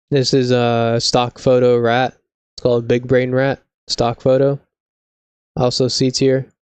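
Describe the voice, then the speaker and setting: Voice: mid-range voice, a little mellow, smooth, dry recording. A laid-back, slangy live streamer of unclear sex, chatting casually with mock seriousness. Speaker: video game live streamer.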